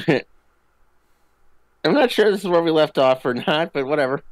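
A man with a fairly high, lively voice jokes his way through casual gaming banter. His intonation swings widely and he tends to chuckle as he talks. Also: normal speed, no room sound. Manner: jokey, often chuckling as he talks. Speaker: co-op gaming video player.

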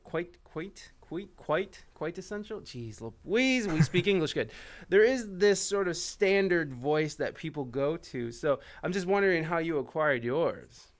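High-voiced, bubbly male host. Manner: bubbly, stumbling, self-mocking. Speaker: podcast host. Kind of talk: casual streamed talk show. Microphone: headset and studio mic on webcam.

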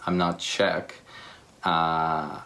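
A young man with a Ukrainian accent talking casually about faith on a living room couch, pausing and repeating words as he searches for them. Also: low-pitched, little pitch movement, gravelly, pushed and bright. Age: young adult. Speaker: church speaker.

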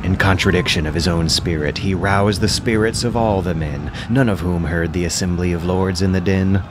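A man narrating an epic audio drama in a measured, solemn way. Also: low voice. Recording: narration over loud music.

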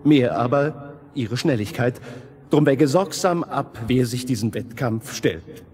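Casting: male actor as king's herald, medium-pitched, formal public proclamation, storybook drama. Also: animated, a little slow, close to an everyday voice. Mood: official, proclaiming. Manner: declamatory, official announcing. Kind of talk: children's fairy-tale audio play.

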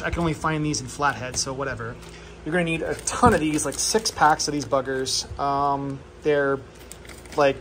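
A man's voice: fairly high pitch, a bit bright, slight background noise. A man filming a casual, offhand walkthrough of his home workshop, joking at his own expense. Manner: offhand and self-deprecating, with fillers. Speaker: channel host.

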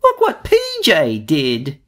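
A man's voice: mid-range voice, huge pitch swings, slow, a bit bright, full character voice. A man voicing a young girl bunny for a storybook, whiny, sensitive and dramatic. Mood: upset wail. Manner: exclaiming, dramatic.

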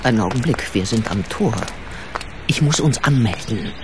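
A woman's voice: extremely low pitch, animated, normal speed, heavy background noise. An actress playing a patient, teasing older lady in an audio drama, well-spoken and playfully ironic. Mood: earnest. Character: patient, teasing widowed lady, charitable friend of sleuth. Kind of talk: German audio drama acting.

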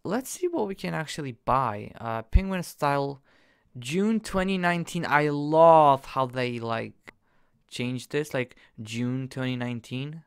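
A young man casually commenting while he plays a game on his computer, excitable and jokey, jumping from loud bursts to quiet mumbles. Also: mid-range voice, unhurried, creaky, smooth. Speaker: gaming YouTuber.

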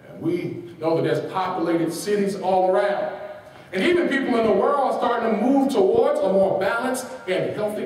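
A man preaching a sermon, reading quotations with their page numbers and asking his congregation rhetorical questions that they answer. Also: sits high. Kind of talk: sermon with call and response. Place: large church hall. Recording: echoey hall from far off.

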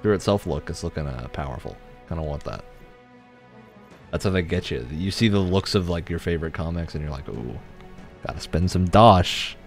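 A man's voice: deep voice, expressive, normal speed, gravelly, soft background music. A man giving casual, chatty co-commentary as he streams a video game.

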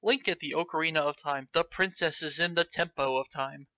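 A man with a very bright, forceful, fairly high voice reads a story aloud in a theatrical, mocking way. He drops in his own comic commentary, and the sound is close and dry. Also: brisk, no room sound. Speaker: host reading fan fiction aloud. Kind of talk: comedic dramatic read-aloud with commentary.

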